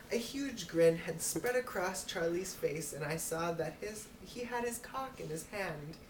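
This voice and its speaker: A woman with a fairly low voice reads a story aloud in an amateur, giggly way. She is some distance from the mic in an echoey room, with noticeable noise on the recording. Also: expressive, takes it slowly. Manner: giggly, breaking into laughs while reading. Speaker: amateur reader. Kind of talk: reading an erotic story aloud.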